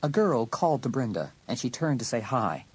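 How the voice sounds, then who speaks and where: Husky male story narrator. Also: mid-range voice, very animated, fairly quick, a little mellow. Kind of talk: scripted audiobook narration.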